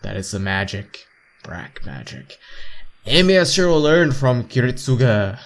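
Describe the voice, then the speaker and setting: A man's voice: medium pitch, sing-song, a little slow. A man reading visual novel text aloud as a let's player, stumbling over lines and repeating words, with joking asides. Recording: game music behind voice.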